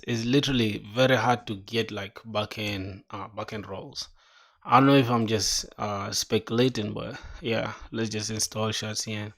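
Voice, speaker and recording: A young man streaming himself coding, chatting casually with viewers and thinking aloud in slang. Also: medium pitch. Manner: slangy, calls viewers "bro", thinks aloud and reads docs; bursts into excited reactions between mumbled reading. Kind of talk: casual livestream chat while coding.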